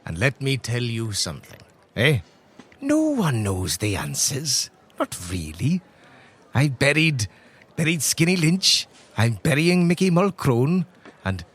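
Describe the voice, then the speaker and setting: Man playing a husky, tipsy priest, swooping pitch. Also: sing-song, a little slow, a bit bright. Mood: tipsy, earnest. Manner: rambling, avuncular, punctuating with "eh" and "right".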